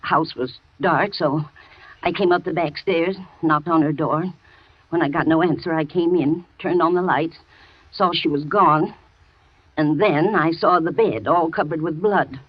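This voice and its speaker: An actress playing a loyal housekeeper in a 1940s radio thriller, talking with a nervous, shaky manner on a dull old broadcast. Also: female voice, sits low, unhurried, no echo. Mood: shaken. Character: frightened loyal housekeeper woman, middle-aged. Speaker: housekeeper and nurse.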